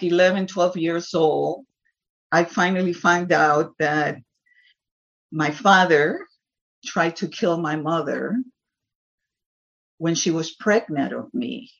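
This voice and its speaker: A woman's voice: on the low side, creaky. Puerto Rican woman poet, dull recording. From Puerto Rico. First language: Spanish. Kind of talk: personal storytelling and poetry reading before an audience.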